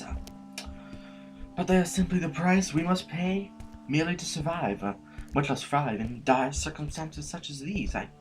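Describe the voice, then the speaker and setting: Casting: man as prim, status-minded official for audio drama, formal and fussy, mid-pitched, faint music underneath. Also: natural voice, poor audio. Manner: formal, fussy, hesitant phrasing. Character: prim, deferential, status-conscious court official.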